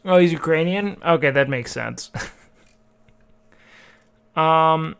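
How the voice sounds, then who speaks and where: A man's voice: on the high side, takes it slowly, creaky, a bit bright, clean tone. A man streaming live, reacting to videos with blunt, profane asides.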